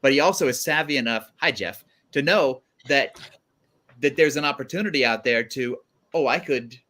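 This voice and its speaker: A man's voice: mid-range voice, moderate pace, bright, forceful tone. A man hosting a casual livestream panel conversation among several guests. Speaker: guest host of a livestream show.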